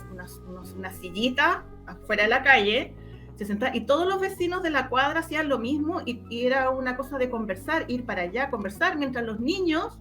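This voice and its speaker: A woman's voice: medium pitch, pushed and bright. A middle-aged woman with a Chilean accent from the O'Higgins region, reminiscing casually as an interview guest, often repeating her words as she tells stories.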